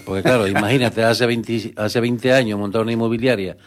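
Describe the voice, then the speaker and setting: Man, middle-aged, low voice, interview guest, hesitant, many restarts, earnest. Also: fairly even intonation, fairly quick. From Canary Islands. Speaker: guest, rural real estate agent. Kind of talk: conversational interview.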